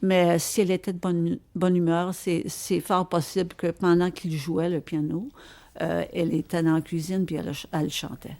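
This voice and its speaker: Older female interviewee, Quebec French accent, creaky lower register, halting casual recollection with stray English words. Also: level tone, darkish tone. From Quebec, Canada. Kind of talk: casual reminiscing conversation.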